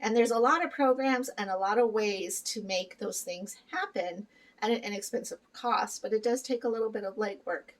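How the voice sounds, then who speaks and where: A middle-aged woman from Peru talks straight to her viewers in a relaxed, chatty way. She is earnest and encouraging and often pauses on "um" and "you know." Her voice sits a bit back from the mic. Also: medium pitch, far from the mic. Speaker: vlogger sharing life with kidney disease. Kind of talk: casual chat to camera.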